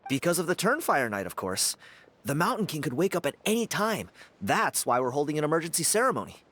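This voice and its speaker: Lively, cocky young dancer. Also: male voice, a little high, brisk, a little hiss or hum. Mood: casual. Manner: breezy, self-assured, chuckling. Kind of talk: video game character acting.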